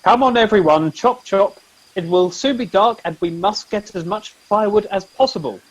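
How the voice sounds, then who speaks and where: A man's voice: high voice, moderate pace, slightly rough, dull audio. A man playing a mild, polite and fussy sergeant in a comic radio play. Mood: politely fussy.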